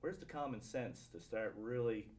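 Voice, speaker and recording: A man's voice: mid-range voice, expressive, normal speed, a little mellow, slight background noise. A man from Vermont speaking as a guest in a casual political discussion. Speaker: panel guest.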